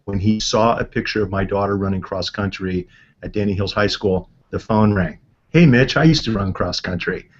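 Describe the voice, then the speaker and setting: A man's voice: lowish voice, moderate pace, lo-fi sound, slight background noise. A middle-aged man hosting a conversational interview, chatty and telling stories to make a point. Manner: chatty, tells anecdotes. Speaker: interview host.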